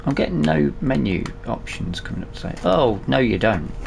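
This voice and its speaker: British man, low gravelly voice, casual gaming commentary, thinking out loud, vehicle noise in background. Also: sing-song, normal speed. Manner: musing aloud to himself. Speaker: gaming video host. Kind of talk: casual let's-play commentary.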